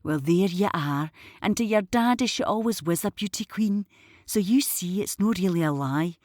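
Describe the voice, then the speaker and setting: A woman's voice: on the low side, lively intonation, normal speed, a little mellow, dry recording, clean studio sound, lightly acted. An actress playing a blunt but tender, protective older mother figure with a Glaswegian accent in an audio drama. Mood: soothing, protective. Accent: Glaswegian Scottish.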